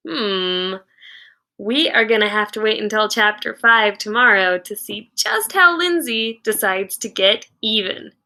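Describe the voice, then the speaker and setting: A woman reading a children's novel aloud like a teacher, with expressive storytelling and deliberate, dramatic emphasis on key words. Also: medium pitch.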